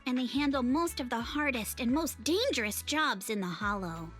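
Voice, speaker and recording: A woman voicing a lively, teasing young woman in an anime video game, with an animated, playful manner. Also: sits high, moderate pace, soft background music. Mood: knowing, explanatory. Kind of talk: English video game voice acting.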